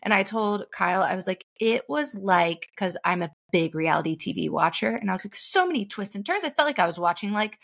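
A woman co-hosting a podcast in easy, chatty conversation. Her voice carries a gravelly fry and sits in a middle pitch. She gushes freely and sprinkles "like" through almost every sentence. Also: animated, a little fast, hardly any pauses, fairly bright tone. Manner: gushing, chatty, many "like" fillers. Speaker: podcast co-host; mom in business. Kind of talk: casual chat.

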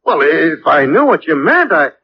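High-voiced, nervous male suspect. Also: very animated, moderate pace, vocal fry, a little hiss or hum. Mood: nervous, defensive. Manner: stammering, flustered, defensive denials. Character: nervous, evasive airline worker suspect under questioning. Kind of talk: 1950s radio drama acting. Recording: dull old radio broadcast recording.

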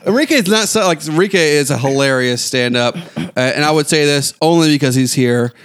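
A man's voice: fairly high pitch, brisk, clear, resonant tone, no echo. A man hosting a podcast, teasing and joking with his comedian guest in easy chat. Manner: teasing, playful, laughs at jokes.

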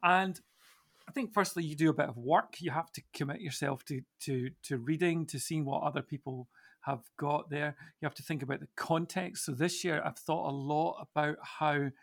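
A man's voice: a little high, moderate pace, vocal fry, a bit bright. A man speaking as a church minister on a podcast panel, reflecting casually and hesitantly as he thinks aloud.

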